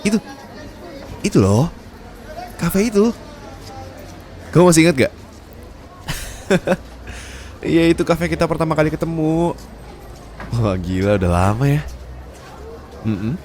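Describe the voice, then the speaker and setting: A man's voice: a little high, animated, a little slow, long pauses, darkish tone, a little hiss or hum. A man playing a stern, haughty young boss who also teases as a boyfriend, in an Indonesian ASMR roleplay. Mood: fond, teasing.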